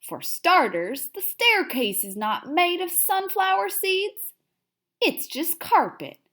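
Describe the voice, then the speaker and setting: A woman voicing an excitable, dramatic little hamster telling his diary in an expressive children's read-aloud, with theatrical emphasis and hushed asides. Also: very high-pitched, slow pace, pitched above the performer's own voice, heavily acted. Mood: alarmed, indignant.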